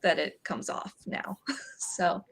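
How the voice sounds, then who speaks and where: A woman's voice: on the low side, steady tone, takes it slowly, vocal fry, fairly bright tone, slightly rough, whispered. A woman guest on a livestream, chatting casually about books in a conversational way peppered with "like". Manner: conversational, frequent use of "like". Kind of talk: casual book chat.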